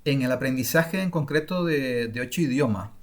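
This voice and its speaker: A middle-aged man from Spain gives an unscripted online masterclass on learning languages, often pausing with fillers and restarting his sentences. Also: mid-range voice, lively intonation. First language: Spanish. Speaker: language-learning course creator.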